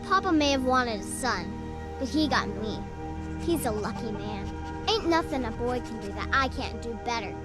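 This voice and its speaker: An actress voicing an eager, boastful young tomboy, a blacksmith's apprentice, in a fantasy video game. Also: female voice, on the high side, animated, normal speed, lightly acted. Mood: proud, defiant. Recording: loud music over the voice.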